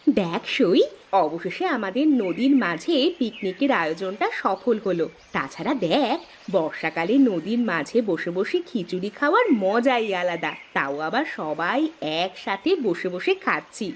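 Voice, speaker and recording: A woman voicing a cheerful, chatty village woman in a cartoon, expressive and gossipy. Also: mid-range voice, normal speed, slight background noise, natural voice. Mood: happy. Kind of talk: cartoon voice acting.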